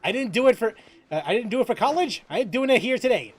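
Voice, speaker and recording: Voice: mid-range voice, quick pace, husky. A gaming streamer chatting casually and joking, with mild swearing, while reading chat and commenting on play. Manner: animated, joking, mildly profane, reads chat aloud. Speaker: gaming livestreamer. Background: background music.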